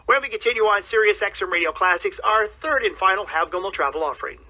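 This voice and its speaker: A man's voice: sits high, fast talker, bright, forceful tone. A man presenting scripted links as a radio host.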